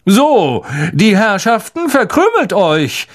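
A vain, theatrical teacher who booms and talks down to everyone, as a male narrator plays him. His voice sits fairly high and swoops with self-satisfied flourish. It is a character voice in an audiobook. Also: big pitch swings, measured pace, full character voice. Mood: patronizing. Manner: patronizing, booming, theatrical. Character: vain, pompous grown man teacher.

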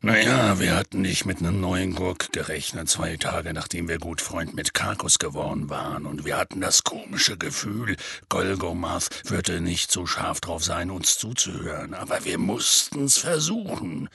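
A man voicing a big, rough, weary half-giant who tells his tale in a plainspoken, rambling, colloquial way, husky and gravelly, for an audiobook. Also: deep voice, moderate pace, hardly any pauses, sharp tone, no room sound, heavily acted. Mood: dogged, animated. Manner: colloquial, rambling, matter-of-fact storytelling. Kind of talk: audiobook character dialogue.

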